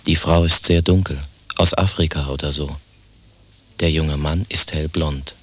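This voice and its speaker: A man's voice: extremely low pitch, fairly even intonation, a little slow, pushed and bright, slight background noise. A man narrating scripted stage directions in a radio play.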